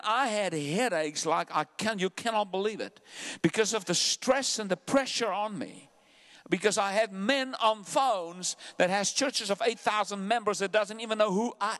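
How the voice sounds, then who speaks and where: A South African man preaching a sermon to his church, dramatic, dropping to hushed asides and then climbing loudly. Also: sits high. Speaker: pastor.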